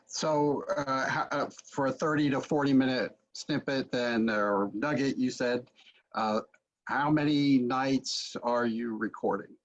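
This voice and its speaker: Halting man on a dull video call. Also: medium pitch. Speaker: interviewer and drama teacher. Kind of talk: conversational interviewing. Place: book-lined home room, video call.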